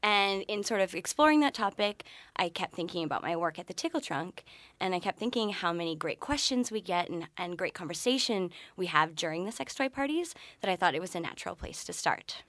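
A young woman speaks as a podcast guest. She explains her points in a casual, articulate way. Her pitch is a little low, and the recording is close and free of room sound. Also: lively intonation, a little fast, a bit bright, no room sound. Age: young adult. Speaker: podcast guest, graduate researcher. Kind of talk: casual interview answers.

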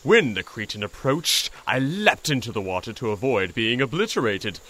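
A man's voice: mid-range voice, big pitch swings, normal speed, bright, forceful tone. A man voicing a pompous, formal and brave toad in an audio drama, speaking in a grandiloquent way. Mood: earnest.

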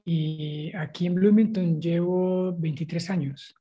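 A man's voice: on the high side, fairly even intonation, slow, warm tone, breathy edge. A middle-aged man with a Colombian accent, introducing himself conversationally as an interview guest. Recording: dull lo-fi video call audio.

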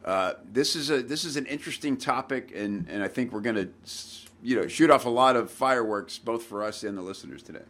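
A man's voice: medium pitch, fairly quick, few pauses, a bit bright. A man in his forties hosting a podcast, chatting loosely with lots of fillers and restarts. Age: 40s. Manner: scripted intro then loose, filler-heavy talk. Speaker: podcast host. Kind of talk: casual podcast chat.

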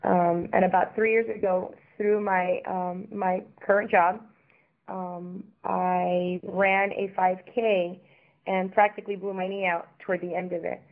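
A woman in her forties from Miami, a podcast guest talking in a chatty, warm way with frequent ums. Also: fairly low pitch, flat delivery, a little slow. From Miami, Florida. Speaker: interview guest. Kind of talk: podcast interview. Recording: tinny phone line.

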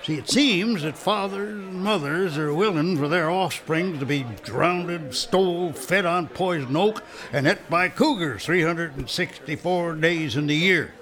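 An actor playing a folksy, good-natured Old West prospector with an American Western accent and a rustic drawl. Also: male voice, fairly high pitch, a little slow, hardly any pauses, fairly bright tone. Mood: wry. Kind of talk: radio drama acting.

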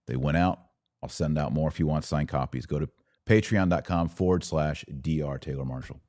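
Very deep-voiced American man, sarcastic commentator. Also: brisk, darkish tone, no room sound, studio quality. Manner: sarcastic, emphatic, repeats phrases for effect. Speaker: podcast host and commentator. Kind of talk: opinionated solo podcast commentary, reading quotes and reacting.

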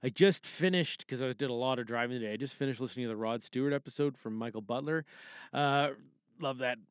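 A man's voice: mid-range voice, quick pace, clear, resonant tone, dry recording, studio quality. A middle-aged man with a Canadian accent, hosting a podcast in a casual, rambling, conversational way from a home booth. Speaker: podcast host. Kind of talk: casual chat.

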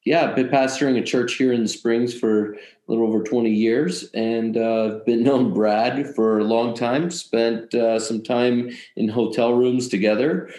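A middle-aged pastor talks slowly on a podcast, pausing often and reaching for fillers. His voice comes through with a roomy echo. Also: medium pitch, steady tone, warm tone. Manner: halting, many fillers. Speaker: pastor and club chaplain. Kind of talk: casual podcast chat.

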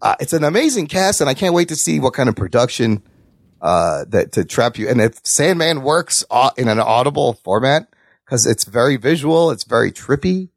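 A man hosting a podcast, chatting casually about news with co-hosts in an excitable, sweary way. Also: medium pitch, normal speed, vocal fry, dry recording, studio quality. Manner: excitable, profane. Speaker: podcast host.

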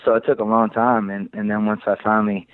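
A man's voice: on the low side, flat delivery, fast talker. A man chatting casually as an interview guest, candid and slangy with free swearing, telling stories. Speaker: interview guest, creative director of a sneaker shop. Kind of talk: casual chat, storytelling. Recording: thin phone-like radio-quality line.

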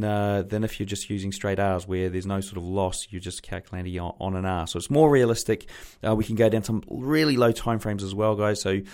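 A New man hosting a podcast, chatty and rambling with many fillers, his voice a little croaky from a sore throat. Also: on the low side, darkish tone. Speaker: podcast host. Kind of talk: casual podcast intro.

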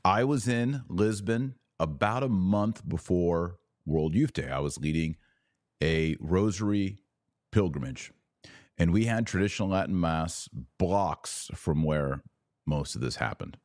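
Deep-voiced man, slow emphatic commentary. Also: animated, a little mellow, no echo, studio quality. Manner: emphatic, deliberate phrasing with pauses and stressed key words. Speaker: podcast host, religious commentator. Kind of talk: opinionated commentary monologue.

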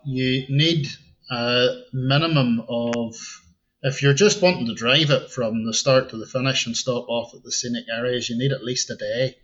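A man's voice: medium pitch, fairly even intonation, normal speed, a bit bright. Northern irish guy, ulster scots lilt, easygoing chat, kinda dull lo-fi sound. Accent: Northern Irish, Ulster Scots tinge. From Northern Ireland. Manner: easygoing, chuckles often. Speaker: podcast guest, tour guide. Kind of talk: casual interview chat.

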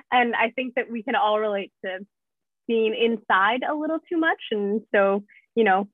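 A woman's voice: on the high side, dry recording. A young woman co-host talks in a friendly, easy way, leaning on filler phrases. Her voice comes through thin and phone-like, with a dry sound. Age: young adult. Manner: friendly, with many you-knows. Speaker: podcast co-host. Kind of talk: casual interview chat. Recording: tinny phone-like audio.

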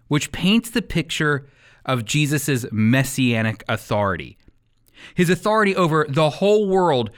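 A man's voice: on the high side, fairly bright tone. A man hosting and teaching a Bible radio program in an explanatory, emphatic way, working from a script.

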